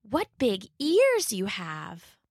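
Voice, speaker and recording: A woman's voice: medium pitch, huge pitch swings, extremely slow, no echo, studio quality, full character voice. A woman voicing a polite, innocent young girl with a childlike, cheerful manner in a children's story. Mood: wary.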